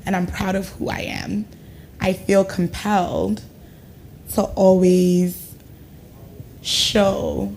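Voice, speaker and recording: Woman guest, from Ghana, reflective, mid pitch, faint background noise. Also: little pitch movement, slow, gravelly, long pauses, a little mellow, poor audio. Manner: reflective, earnest, uses "like" and "right?". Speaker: guest, education consultant. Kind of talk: casual studio chat.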